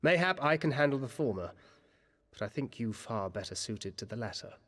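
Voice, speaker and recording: An actor playing a kindly, learned comrade in a fantasy game, with articulate, old-fashioned speech. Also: male voice, medium pitch, animated, moderate pace, a bit bright. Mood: reassuring. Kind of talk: archaic fantasy game dialogue. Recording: long hall reverb.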